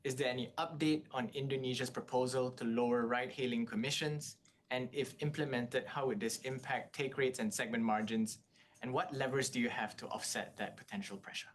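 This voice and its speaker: A man's voice: fairly even intonation, brisk. A man moderating an earnings call, reading out analyst questions. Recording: clear background noise, low rumble.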